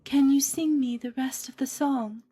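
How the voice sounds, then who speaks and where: A woman voicing a wistful, innocent young girl in a video game, soft and breathy. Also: high voice, fairly even intonation, measured pace. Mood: wistful, pleading.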